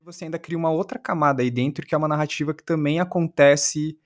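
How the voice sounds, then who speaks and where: A man's voice: on the high side, steady tone, quick pace. Thoughtful Brazilian man interviewing. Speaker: podcast interviewer. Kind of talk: conversational interview.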